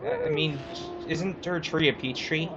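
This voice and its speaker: A woman's voice: low voice, wildly swooping pitch, normal speed, creaky, highs cut off, background noise. A woman co-hosting a let's play, giving casual gameplay commentary in a hesitant, conversational way.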